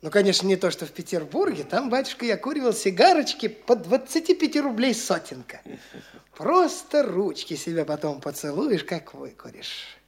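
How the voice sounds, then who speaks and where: A woman's voice: medium pitch, normal speed, roomy sound, close to an everyday voice. A woman playing a boastful, flighty young man posing as an official, condescending to the locals. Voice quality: swaggering, lively, condescending. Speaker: actor in a radio play. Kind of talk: stage radio play acting.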